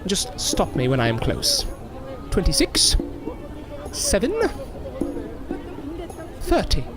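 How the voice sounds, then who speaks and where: A proud older male stage magician in an audio drama. His delivery is florid and theatrical, swinging widely in pitch over formal, wordy phrases, with clear music behind him. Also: huge pitch swings, takes it slowly, a crowd in the background, heavily acted. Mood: teasing, haughty.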